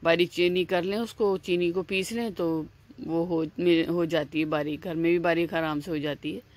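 A woman's voice: lowish voice, steady tone, moderate pace. Chatty woman cooking at home. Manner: chatty, repeats herself, many "aa" fillers and restarts. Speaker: cooking channel host. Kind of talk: casual step-by-step cooking instructions.

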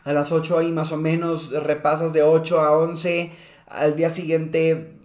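A man's voice: a little high, little pitch movement, a little slow, darkish tone, echoey room. A young man, a guest actor chatting casually and joking. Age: young adult. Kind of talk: casual chat. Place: living room with a fireplace.

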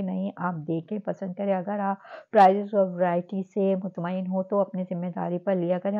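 A woman with a Karachi Urdu accent chatting casually to her viewers while showing dresses in a clothing shop. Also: mid-range voice. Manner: chatty, addresses viewers as sisters. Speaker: shopping vlogger. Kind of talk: casual shopping walkthrough. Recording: phone-like narrow sound.

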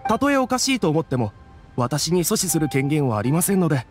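A man's voice: fairly high pitch, very animated, a little fast, soft background music. A man playing a courteous, unflappable waiter in an anime game, using very polite service talk. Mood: polite, firm.

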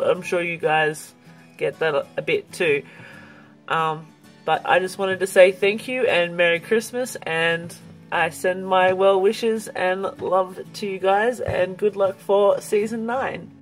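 Young woman from Australia, fairly low voice, earnest and a bit rambling, bedroom webcam, faint music under her. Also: a bit bright, rough recording. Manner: earnest, slightly rambling with restarts. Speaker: YouTuber sending a fan message. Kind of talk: casual direct-to-camera message.

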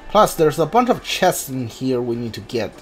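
A video game player giving casual commentary, thinking aloud in a halting way. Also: medium pitch, big pitch swings, faint music behind.

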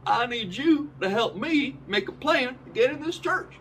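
A middle-aged man playing an eager, nervous, dim schemer in an improvised soap opera, halting and conspiratorial. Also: extremely high pitch, lively intonation, fairly bright tone, a little hiss or hum, heavily acted.

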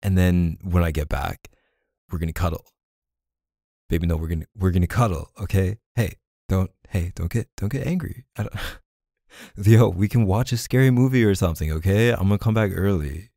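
Guy acting as chill apologetic boyfriend, roleplay audio, slow n gravelly, close dry sound, coaxing and kinda hesitant. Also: sits low, dark tone, no echo. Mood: conciliatory, then exasperated, apologetic. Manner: casual, hesitant, sighing, coaxing with pet names. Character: laid-back, apologetic young boyfriend soothing his neglected partner. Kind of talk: boyfriend ASMR roleplay, casual intimate one-sided dialogue.

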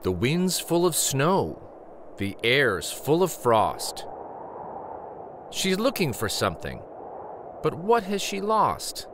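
A man in his forties reading a children's picture book aloud in an expressive, gentle storytelling way. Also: a little high, slow pace, long pauses, slight background noise. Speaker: children's story reader and host.

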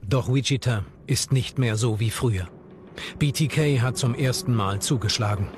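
A man's voice: mid-range voice, normal speed. A man reading scripted true crime narration as a documentary narrator. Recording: music under the voice. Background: background music.

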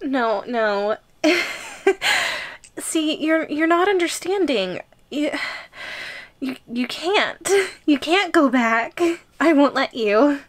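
A woman's voice: sits high, expressive, slow pace. A woman playing an obsessive, lovestruck yandere giantess schoolgirl in an audio roleplay, with a hesitant, stammering, giggly delivery. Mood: possessive, uneasy.

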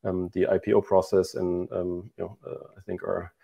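A man in his thirties with a low, gravelly voice, speaking over a video call as a company chief. He answers with frequent fillers and repeated false starts, circling back before landing his points. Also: level tone, moderate pace, muffled recording. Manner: hesitant, many restarts and fillers. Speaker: company CEO, guest. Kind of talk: remote interview answers. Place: bright room at home, indoors.

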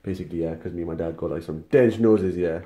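A young man chats to his phone camera in a home kitchen, cracking jokes in street slang. His voice is low and mellow, and the room echoes around him. A faint hiss of noise sits underneath. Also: fast talker. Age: young adult. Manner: cheeky, joking slang banter. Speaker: vlogger. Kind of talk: casual vlog to camera. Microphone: phone camera held at arm's length.

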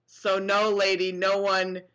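A woman in her twenties with a bright, forceful voice and an unhurried pace. She moves between casual sarcastic commentary and exaggerated, mock-dramatic reading, speaking into a webcam indoors. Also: medium pitch, monotone, muffled recording. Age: 20s. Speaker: vlogger. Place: small dim bedroom, indoors.

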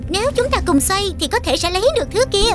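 A woman voicing a spirited, bossy young girl in an animated cartoon. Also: extremely high pitch, quick pace. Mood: determined. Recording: loud music behind.

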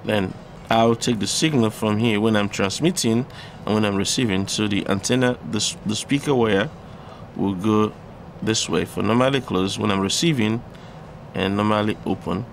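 Man, lowish pitch, halting step-by-step tutorial delivery, audible background noise. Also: gravelly. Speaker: presenter of electronics tutorials. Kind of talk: unscripted instructional explanation.

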